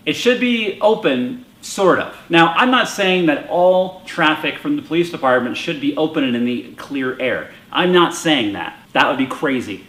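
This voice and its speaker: A man's voice: on the high side, a bit bright. An American man presenting explanatory opinion commentary in an emphatic, conversational way. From United States. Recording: roomy echo.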